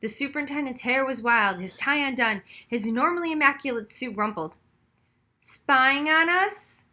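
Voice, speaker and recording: A woman narrates a children's novel aloud. Her voice is bright and forceful, sitting a little high, and she tells the story expressively. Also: lively intonation, a little fast. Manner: narrates expressively, acting out dialogue. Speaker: audiobook reader.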